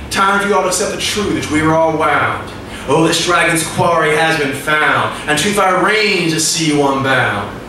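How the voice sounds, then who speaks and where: A man in his thirties performing rhymed spoken-word poetry in a declamatory, rhythmic way, at a stand microphone in a small indoor venue. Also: a little high. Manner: declamatory, emphatic, rhythmic delivery. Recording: echoey live room, distant mic, clear noise.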